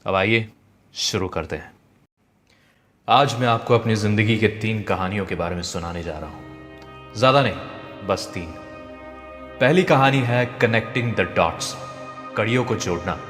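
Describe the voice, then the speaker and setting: A man reading a scripted motivational story in a measured, storytelling way. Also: on the low side, fairly bright tone. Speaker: narrator. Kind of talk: scripted motivational narration of a translated speech. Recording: echoey, long reverb, music behind. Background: background music.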